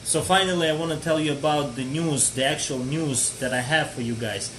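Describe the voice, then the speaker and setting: A man's voice: fairly high pitch, a little fast, fairly bright tone. A man from Georgia hosting a casual live stream chat, chatty and joking as he answers viewers. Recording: echoey room with faint noise.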